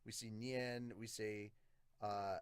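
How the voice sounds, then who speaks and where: A man's voice: fairly low pitch, little pitch movement, slow pace, clear, resonant tone, no echo. Casual male podcast host, dry close recording. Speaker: host of a manga review podcast. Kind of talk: casual review commentary.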